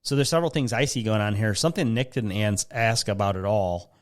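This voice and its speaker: Man, Midwestern American accent, slightly deep voice, casual podcast host, rambling, jokey, self-interrupting. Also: fairly quick. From Lansing, Michigan. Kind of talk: casual talk answering listener questions.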